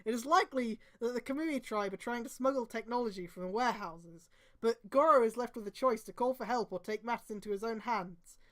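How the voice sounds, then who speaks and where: A woman's voice: on the high side, brisk, a bit bright. A woman streamer reading fan fiction aloud, with sweary, exasperated commentary and emphatic delivery. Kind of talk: mocking read-aloud with casual commentary.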